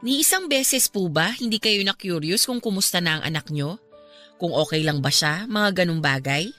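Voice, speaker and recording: Radio drama casting: woman playing a courteous young Filipina friend, bright forward voice, respectful but quietly stubborn, soft music underneath. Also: fairly low pitch, expressive, moderate pace. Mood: probing, concerned. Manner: polite, deferential yet pointed.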